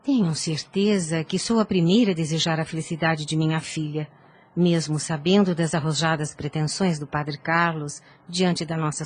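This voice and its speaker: A woman's voice: on the low side, a little mellow, lightly acted. An actress playing a caring, composed middle-aged mother in a radio drama, speaking formally. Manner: formal, measured.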